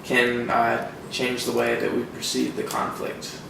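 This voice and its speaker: A male interviewer asks brief, plainly worded questions. His voice has a gravelly edge. He sounds far from the mic in a strongly reverberant room with noticeable noise. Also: medium pitch, completely flat delivery, measured pace.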